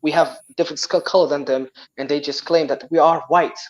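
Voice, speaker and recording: A young man with a Moroccan accent, a Tamazight speaker, chatting as a guest, animated and full of restarts and repeated words. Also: fairly high pitch, brisk. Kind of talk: casual chat.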